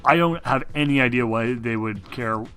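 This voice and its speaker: A man with a bright, forceful voice narrates a tabletop game as its game master. He improvises as he goes, with a halting delivery at middle pitch. Music plays underneath. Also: smooth.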